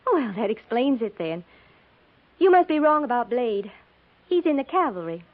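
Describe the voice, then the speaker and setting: Folksy frontier bride, tinny old radio. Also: female voice, a little high, big pitch swings, normal speed. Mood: defensive. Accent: American. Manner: folksy, earnest, emphatic. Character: chatty, easily riled young frontier bride, former schoolteacher. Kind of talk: 1950s American radio drama acting. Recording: tinny, narrow-band 1950s radio recording.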